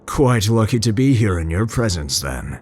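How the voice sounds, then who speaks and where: An actor voicing a tender, awkward older male scientist in an intimate ASMR roleplay, halting and formal as he muses to the listener. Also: on the low side, very animated, darkish tone, a little hiss or hum. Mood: admiring, shy. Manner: halting, musing, formal, with hesitant stammers.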